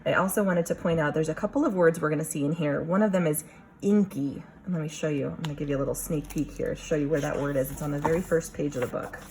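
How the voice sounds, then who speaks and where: A woman teacher reading a picture book aloud to young children, expressive, pausing to question and explain. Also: deep voice, slight background noise, low-quality recording.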